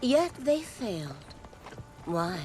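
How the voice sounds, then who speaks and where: A woman's voice: a little high, sing-song, takes it slowly, music in the background. An actress playing a confident, pragmatic warrior woman in a video game, with an assured, persuasive delivery. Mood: warm, teasing. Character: confident, pragmatic female warrior, loyal adviser. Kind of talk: video game cutscene acting.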